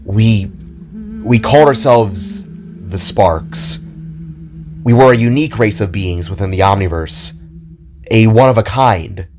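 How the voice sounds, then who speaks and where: Man playing a sorrowful, halting, low-voiced metal warrior. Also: darkish tone, a little hiss or hum, close to an everyday voice. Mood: solemn, sad. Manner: halting, hesitant, stammering. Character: Void, sorrowful male metal warrior being, last survivor. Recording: background music behind the voice.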